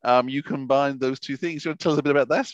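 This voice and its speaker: A man's voice: mid-range voice, fairly even intonation, racing. A middle-aged man, interviewing casually as a podcast host on a headset mic. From Australia. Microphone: headset mic on video call. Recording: dull, lo-fi headset call audio.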